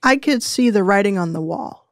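Woman, 30s, podcast guest; measured and earnest, mid pitch, dry close-mic read. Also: expressive, no echo. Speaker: guest investor. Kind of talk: reflective podcast interview.

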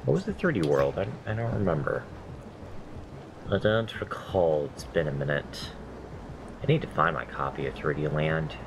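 A man with a very deep, nasal voice talks through his gameplay in loose, rambling asides. He drifts between memories and grumbles at each failed jump. A steady hiss of noise sits under the recording. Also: moderate pace. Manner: rambling, self-deprecating, swears at deaths. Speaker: gameplay commentator. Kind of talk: casual let's-play chat.

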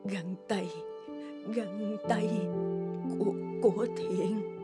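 A woman's voice: medium pitch, steady tone, very slow. An actress playing an anguished, pleading mother in a cartoon, speaking emotionally. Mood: weak, sorrowful. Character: anguished, pleading mother of a hot-tempered son. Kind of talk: cartoon dubbing. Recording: clear music under the voice.

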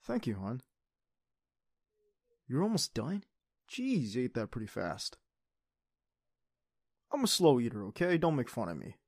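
A man playing an affectionate, playful teenage boyfriend in an intimate, soft-spoken ASMR roleplay. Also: mid-range voice, sing-song, a little slow, pauses often, dry recording, clean studio sound. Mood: playful, coaxing.

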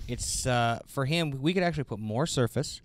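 A man's voice: mid-range voice, moderate pace. A man co-hosting a casual product review, commenting and bantering in a joking way. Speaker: host of bowling ball reviews.

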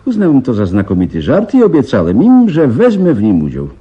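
An actor playing a polite, formal, apologetic professor in a radio play. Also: male voice, medium pitch, wildly swooping pitch, a little fast, mellow. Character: courteous, apologetic professor, an accomplice uncle. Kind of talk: Polish radio play acting. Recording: dull, faintly noisy radio recording.

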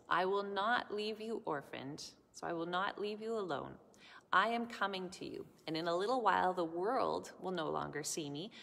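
Gentle woman speaking in a reverberant church. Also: lowish voice, vocal fry. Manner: gentle, explaining to children. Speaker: children's church leader. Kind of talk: children's sermon. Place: large church sanctuary. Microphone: camera in front, at close range.

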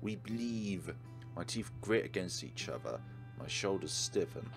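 A man's voice: deep voice, sing-song, unhurried, creaky. A man hosting a let's play, reading game text aloud and commenting on it. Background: game music.

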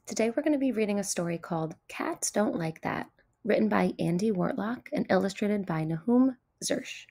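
A woman hosting a children's story time, reading aloud in an expressive, deliberate storytelling delivery. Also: mid-range voice, gravelly. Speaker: storyteller, host of a read-aloud show.

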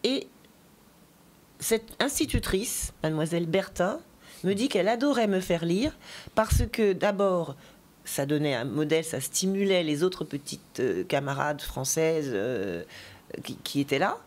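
A woman's voice: deep voice, lively intonation, a little mellow. Chatty woman poet, full of asides. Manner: digressive, chatty storytelling with asides; slow, deliberate poetry recitation. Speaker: poet and teacher, interview guest. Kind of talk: interview talk and poetry reading.

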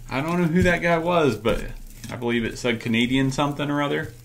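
A man's voice: fairly high pitch, brisk. A middle-aged man talking casually and thinking aloud as he hosts a hands-on teardown video. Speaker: video host. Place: indoors at a tabletop workspace. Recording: noticeable background noise.

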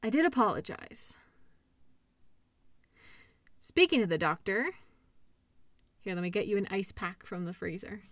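A woman's voice: mid-range voice, very animated, moderate pace, a bit bright, no echo, studio quality. A woman playing a caring, upbeat girlfriend in an intimate roleplay audio, talking in a soothing, playful, encouraging way. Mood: relaxed, playful. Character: caring, upbeat girlfriend comforting an injured partner.

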